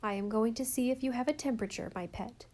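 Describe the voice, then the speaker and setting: A kindly older housekeeper fusses over a child in a soft, coaxing voice. A woman puts her on while reading a storybook aloud. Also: fairly high pitch, fast, close to an everyday voice.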